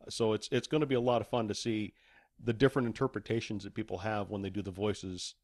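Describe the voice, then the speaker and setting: A man's voice: fairly low pitch, steady tone, fast talker, darkish tone, no room sound, clean studio sound. A man speaking as an interview guest, an author chatting casually about his work. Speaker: podcast guest, author and audiobook narrator.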